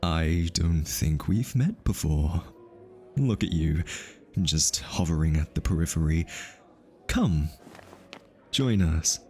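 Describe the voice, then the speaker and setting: A man's voice: very deep voice, expressive, slow, pauses often, darkish tone, full character voice. A man voice-acting an ASMR roleplay as a pretentious, easily flustered hitman posing undercover as a society consultant, with an arch, teasing and theatrically polite manner. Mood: smoothly polite. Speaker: voice actor.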